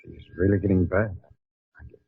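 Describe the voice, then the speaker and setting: A dull vintage radio recording carries a low, very rough male voice. It is a troubled husband in a radio drama. He sounds grave, subdued and deliberate. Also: fairly even intonation, full character voice. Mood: resigned. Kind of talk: old American radio drama acting.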